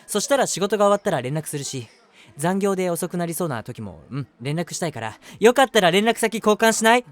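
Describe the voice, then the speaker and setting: A man's voice: sits high, expressive, a little fast. A man voicing an eager, easily flustered young man in love, chatty and self-deprecating, in a romantic audio drama. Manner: chatty, self-deprecating, earnest, hesitant asides. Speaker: voice actor.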